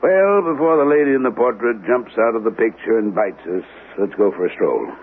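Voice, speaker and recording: A man's voice: mid-range voice, expressive, a little fast, close to an everyday voice. An actor playing a genial, practical grandfather in a vintage radio drama, speaking in a casual, avuncular way. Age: older. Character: genial, practical grandfather, suburban weekend host. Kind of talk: 1970s radio drama acting. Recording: dull lo-fi radio, faint noise.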